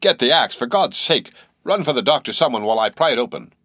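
A man's voice: a little high, huge pitch swings, fast talker, a bit bright, slightly rough, no echo, clean studio sound, pitched above the performer's own voice, full character voice. A man voicing an alarmed young sailor who calls out urgently and pleads.

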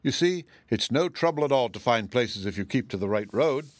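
A man voicing a wise storybook wizard, brisk, assured and consoling. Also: medium pitch, expressive, no room sound, lightly acted.

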